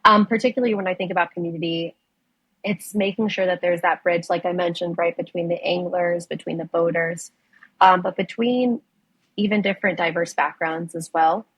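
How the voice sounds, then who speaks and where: A woman's voice: on the low side, fairly even intonation, normal speed, fairly bright tone, husky, dry recording, rough recording. A young adult woman from the United States whose first language is Spanish, speaking as a guest in a conversational interview, enthusiastic, with many fillers and restarts. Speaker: ocean conservation director, guest.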